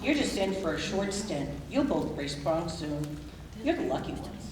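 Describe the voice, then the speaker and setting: A woman's voice: on the low side, big pitch swings, moderate pace, crowd noise. A woman playing a weary, indignant prison inmate in a staged reading of a musical. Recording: echoey room, distant mic, noisy.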